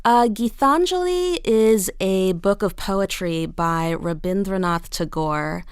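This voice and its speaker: A woman's voice: mid-range voice, slow, clean tone, no echo, clean studio sound. A woman answering interview questions as a guest playwright, conversational, explaining things in a thoughtful way.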